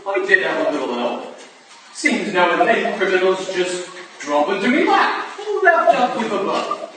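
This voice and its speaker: Distant, echoey stage reading: male actor as cheeky working-class London bobby, high voice, friendly banter. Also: sing-song, unhurried, fairly bright tone, exaggerated voice. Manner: colloquial, bantering. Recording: echoey room from far off, noisy.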